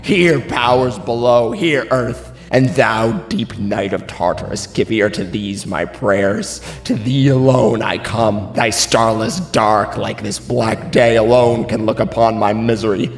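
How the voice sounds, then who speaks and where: A man's voice: mid-range voice, natural voice. A man playing an anguished, grieving father in a classical verse tragedy, declaiming solemnly and with passion. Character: anguished, grieving father, betrayed brother of a king. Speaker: stage actor. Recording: long reverb, music underneath.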